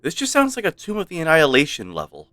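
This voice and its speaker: A man's voice: a little high, wildly swooping pitch, fast talker, no echo. A man streaming a game with casual, playful, rambling commentary for his chat. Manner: rambling, playful, mock-stern. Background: soft game music.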